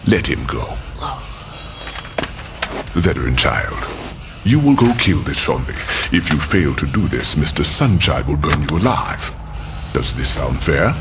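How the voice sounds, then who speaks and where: An actor playing a coldly polite, menacing crime boss in a video game, speaking formally and deliberately. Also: male voice, rumbling low, big pitch swings, over music, exaggerated voice. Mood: cold menace. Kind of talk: video game cutscene acting.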